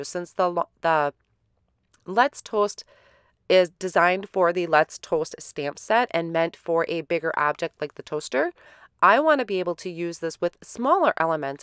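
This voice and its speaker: A woman hosting a card-making tutorial in a relaxed, step-by-step voice-over, a little stuffy from a head cold. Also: on the low side, smooth, no echo, clean studio sound. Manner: relaxed, step-by-step explaining with fillers.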